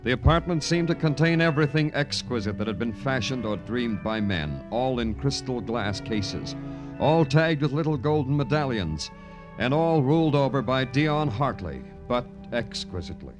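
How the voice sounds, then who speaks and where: Actor as brooding hardboiled radio detective over music. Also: male voice, mid-range voice, normal speed, lightly acted. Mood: wry. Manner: terse deadpan dialogue, brooding poetic narration. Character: weary, wry, hardboiled New York police detective. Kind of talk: 1950s radio noir acting and narration.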